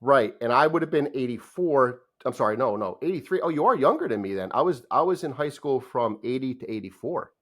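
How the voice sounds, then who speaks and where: A man in his fifties from New Jersey hosting a podcast, chatty and quick to laugh, often restarting and trailing off mid-thought. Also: mid-range voice, lively intonation, a bit bright. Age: 50s. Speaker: podcast host. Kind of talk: casual podcast chat.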